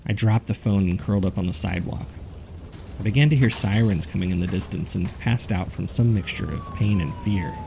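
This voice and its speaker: A man's voice: fairly low pitch, huge pitch swings, a little fast, gravelly, background noise. A man reading scripted narration as the host of a horror fiction podcast. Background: background music.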